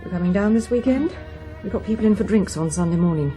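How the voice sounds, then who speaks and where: Actress playing a middle-aged british mum in a radio play, lively intonation, dry and a bit disapproving. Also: female voice, medium pitch, fast, faint music behind, close to an everyday voice. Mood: wry. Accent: British English. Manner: dry, questioning, gently disapproving. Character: wry, concerned middle-aged mother of a grown daughter. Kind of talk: radio drama dialogue.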